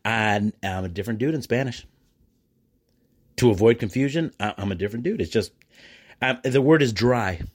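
A middle-aged American man telling personal stories as a podcast host, chummy and animated, often acting out bits of conversation. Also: mid-range voice, moderate pace, pauses often. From United States. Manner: animated, self-describedly chummy and jokey; acts out quoted exchanges. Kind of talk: casual solo podcast monologue, storytelling.

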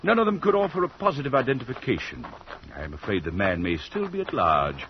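An actor playing a suave, ruthless, wealthy middle-aged patriarch in a 1940s radio drama, speaking in an urbane, formal, pompous manner. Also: male voice, medium pitch, wildly swooping pitch, fast talker, a little hiss or hum. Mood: smoothly unbothered. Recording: dull 1940s radio recording.

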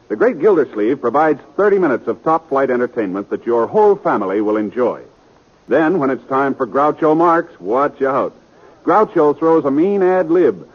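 An American man announcing in polished, upbeat 1950s radio style, reading a scripted promo. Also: mid-range voice. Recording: dull 1950s radio broadcast.

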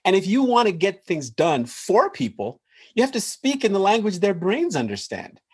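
Nigerian-born guy on a podcast, super lively up and down voice, gets animated. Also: high voice, normal speed, slightly rough. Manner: animated, laughs while explaining. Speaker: podcast guest. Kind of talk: casual interview talk.

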